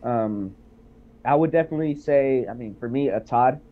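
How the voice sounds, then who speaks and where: A man's voice: mid-range voice, moderate pace. A sick, congested man joining a livestream as a guest over a video call, talking hesitantly with many ums. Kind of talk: casual chat on a call. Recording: tinny call audio.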